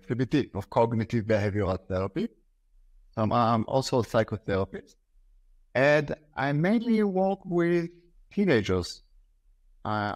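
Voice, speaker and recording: A man in his forties with an Israeli accent, a native Hebrew speaker, talking as an interview guest in a halting, sincere way. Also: on the low side, dry recording. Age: 40s. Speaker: podcast guest, psychotherapist. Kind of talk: conversational interview. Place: small office, video call.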